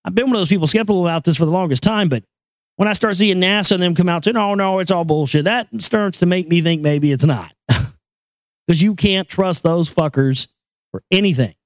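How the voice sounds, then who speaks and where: A man with a high voice hosting a casual talk show, joking crudely and rambling over news items. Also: fairly quick, no room sound. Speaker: show host.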